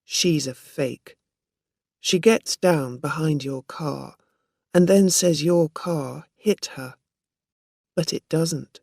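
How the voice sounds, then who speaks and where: Storybook woman, performed by a narrator: shrewd, assertive, measured delivery, dry recording. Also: medium pitch, gravelly, darkish tone, dry recording. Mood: accusing, firm. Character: Dorothy Burns, assertive accusing woman, shrewd and controlled.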